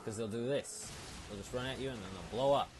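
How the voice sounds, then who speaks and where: A man's voice: medium pitch, brisk, low-quality recording. A man giving casual, rambling and explanatory commentary as he plays through a video game. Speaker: video game let's-player. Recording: loud game music behind the voice. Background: loud video game music.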